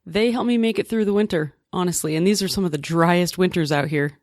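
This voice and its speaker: A woman's voice: fairly low pitch, brisk, darkish tone, no room sound, clean studio sound. An American woman co-hosting a podcast in a casual, conversational style. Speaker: podcast co-host. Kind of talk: casual chat.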